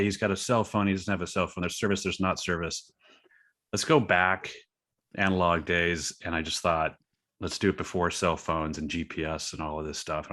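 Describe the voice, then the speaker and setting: A man talking casually as an interview guest about his own creative work, halting and a little self-deprecating. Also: deep voice, sharp tone. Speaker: guest, creator of a horror thriller story.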